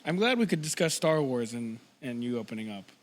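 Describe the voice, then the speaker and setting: A man's voice: mid-range voice, big pitch swings, a little fast. Teasing guy on a podcast, voice bounces around, dry jokes. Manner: wry, teasing, laughing. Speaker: podcast co-host. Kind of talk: casual chat.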